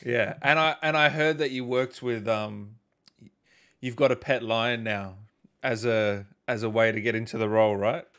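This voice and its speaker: A man's voice: mid-range voice, fairly even intonation, normal speed, fairly bright tone. A man in his thirties hosting a casual interview, chatting into a close microphone. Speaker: host, interviewer.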